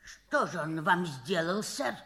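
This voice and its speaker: An actress playing an outspoken, good-hearted housekeeper who scolds and exclaims in a folksy way, in an old radio play. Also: female voice, on the low side, lively intonation, slow, a bit bright, exaggerated voice. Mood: probing, indignant. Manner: folksy, exclaiming, laughing scorn. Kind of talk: Soviet radio play acting. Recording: old 1960s radio drama recording.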